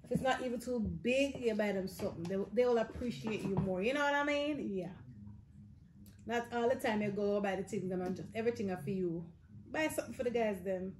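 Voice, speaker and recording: A woman's voice: mid-range voice, fairly quick, noisy background. A woman in her thirties with a Jamaican accent, chatting casually to camera in Patois-inflected phrasing as a lifestyle vlogger.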